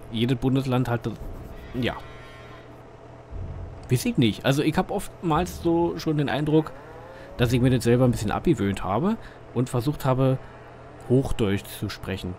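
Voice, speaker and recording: A man's voice: medium pitch, expressive, normal speed, a little mellow, a little hiss or hum. Chatty man with a Berlin dialect. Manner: chatty, rambling, thinks aloud with fillers. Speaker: gaming channel host. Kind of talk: casual gameplay commentary.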